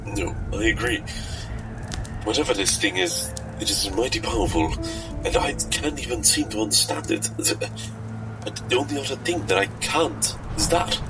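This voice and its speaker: A man playing a tabletop game in character, excitable and stammering as he reacts. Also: medium pitch, normal speed, music in the background, rough recording. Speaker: player.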